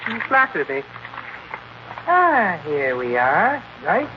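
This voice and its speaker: A man's voice: sits high, huge pitch swings, slow pace, full character voice. A genteel murderer in an old radio play. His formal, mock-courteous speech rises and falls dramatically with cold menace. The recording is muffled and buried in heavy noise. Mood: suavely evasive. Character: genteel, coldly menacing murderer posing as kindly husband. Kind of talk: 1940s radio acting. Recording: dull, very noisy 1940s radio recording.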